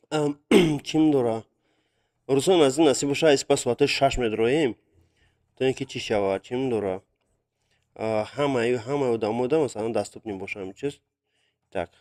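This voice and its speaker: Young male streamer, halting and filler-heavy, mixes in Russian words, phone mic, casual. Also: medium pitch. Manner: hesitant, with many fillers, mixing in Russian words. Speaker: live-stream artist drawing viewer requests. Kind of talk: casual live-stream chat.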